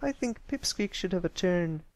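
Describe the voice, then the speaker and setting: Man doing a very high, girlish little filly. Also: animated, moderate pace, darkish tone. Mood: encouraging.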